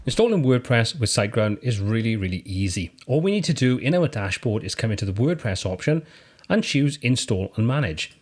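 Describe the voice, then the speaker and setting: A man from the UK hosting a screen-recorded tutorial, calmly walking through software steps in a friendly, explanatory way. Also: medium pitch, fairly quick. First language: English. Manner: friendly step-by-step explaining, lively intonation. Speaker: tutorial host.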